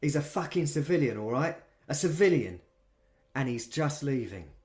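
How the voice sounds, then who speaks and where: A man's voice: mid-range voice, very animated, normal speed, darkish tone, pitched above the performer's own voice, lightly acted. A man voicing a blunt, unafraid middle-aged gang manager with a London accent who swears a lot.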